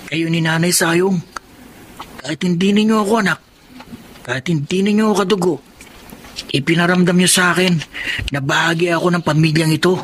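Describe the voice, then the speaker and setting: A humble, earnest foster son. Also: male voice, high-pitched, fairly even intonation, a little fast, long pauses, a little hiss or hum. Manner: soft-spoken, pleading, respectful. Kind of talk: radio drama acting.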